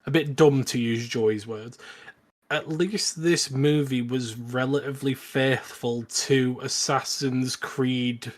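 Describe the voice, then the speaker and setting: A man's voice: medium pitch, steady tone, takes it slowly. A man co-hosting a casual film review chat, opinionated and wry. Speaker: podcast co-host.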